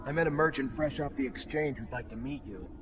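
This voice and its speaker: A man's voice: medium pitch, fairly quick, natural voice. Man playing a reserved young soldier hero. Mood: matter-of-fact. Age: young adult. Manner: restrained, respectful. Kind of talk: video game cutscene acting. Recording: music behind the voice.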